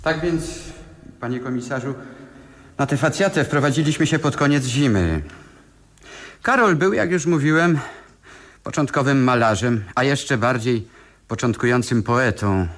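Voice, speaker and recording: A man acting in a radio play as an excitable, bewildered young tenant who tells his story with animated wonder. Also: medium pitch, unhurried, long pauses, echoey room, close to an everyday voice. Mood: earnest recounting.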